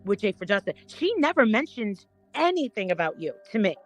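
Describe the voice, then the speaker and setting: A woman's voice: mid-range voice, big pitch swings. Woman panel guest gossiping casually, stops n starts, repeats herself, music behind her. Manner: halting, rambling, repeats words.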